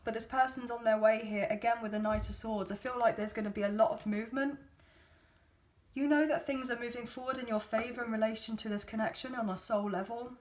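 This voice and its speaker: A woman with a slightly croaky, hoarse voice, giving a casual tarot reading to her viewers in a chatty, gently encouraging way with frequent ums. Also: fairly high pitch, fairly even intonation, brisk. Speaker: tarot card reader.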